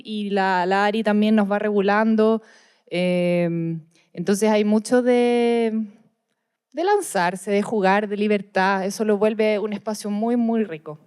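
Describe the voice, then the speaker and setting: Clear, higher-voiced Chilean woman. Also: a little mellow. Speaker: panelist on radio drama. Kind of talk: casual panel discussion.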